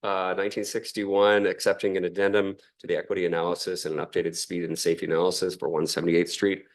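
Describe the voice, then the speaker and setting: Deep-voiced man chairing a council meeting. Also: little pitch movement. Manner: measured, procedural, slightly halting. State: losing his voice, clearing throat. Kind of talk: formal meeting procedure.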